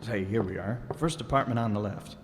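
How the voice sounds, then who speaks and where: A man's voice: fairly low pitch, fairly quick, close to an everyday voice. A man playing a grumpy, exhausted radio husband. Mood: muttering. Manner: grumbling, put-upon, stammering. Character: John, a grumpy, exhausted middle-aged husband. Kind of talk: old-time radio comedy acting. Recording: live stage recording with long reverb and faint noise.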